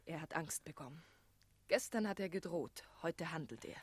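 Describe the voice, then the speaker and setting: A woman's voice: deep voice, lively intonation, moderate pace, breathy edge, no echo. A woman playing a proud, anguished young maiden in a 1960s German radio play, earnest and restrained, often dropping toward a whisper. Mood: defiant.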